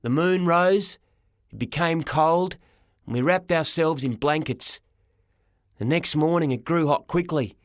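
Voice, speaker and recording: A man narrating a first-person story for an audiobook, dramatic and expressive, in short measured phrases. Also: a little high, no room sound, clean studio sound.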